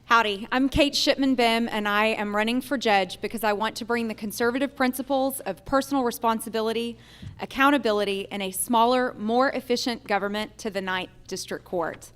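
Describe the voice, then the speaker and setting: A woman's voice: on the high side, a little fast, fairly bright tone, clear, resonant tone. A woman delivering a prepared campaign speech as a candidate for judge. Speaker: judicial candidate, lawyer. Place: theater, live event.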